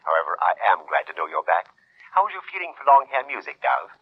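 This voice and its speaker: A man playing a fussy, effusive bohemian gentleman with an affected, flamboyant manner in 1940s radio drama style. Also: low voice, huge pitch swings, fast talker, husky, full character voice. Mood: chummy, arch. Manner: affected, flamboyant, gushing endearments. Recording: dull, lo-fi 1940s radio recording.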